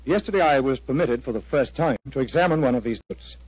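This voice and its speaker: An intense clergyman in a vintage radio drama. He sounds husky, earnest and formal, with grave, halting phrases, on a muffled, close and dry old recording. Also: mid-range voice, animated, darkish tone, no room sound. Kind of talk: 1940s radio drama acting.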